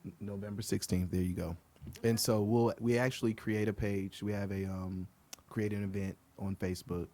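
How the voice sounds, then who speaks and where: A man from Liberia, a guest on a podcast, talking in a relaxed, earnest way with many ums. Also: on the low side, moderate pace. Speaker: guest, outdoor group co-founder. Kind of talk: casual podcast interview.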